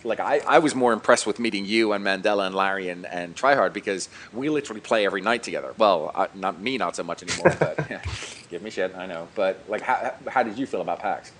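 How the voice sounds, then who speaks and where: A man's voice: fairly low pitch, brisk, a bit bright, slight background noise. A man co-hosting a gaming podcast, talking casually in an informal, slangy way. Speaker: podcast co-host, gaming YouTuber. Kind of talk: casual chat.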